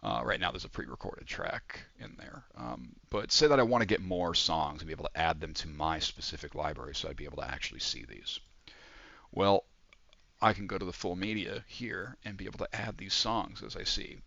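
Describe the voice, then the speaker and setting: Male student presenter, low gravelly voice, informal and halting demo delivery, slightly muffled audio. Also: sharp tone. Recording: muffled, echoey room recording.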